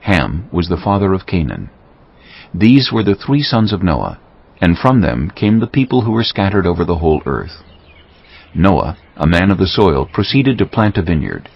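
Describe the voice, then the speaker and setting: A man narrating a dramatized scripture reading in a measured, storytelling manner. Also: sits low, sing-song, husky. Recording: slightly dull audio over music. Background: music.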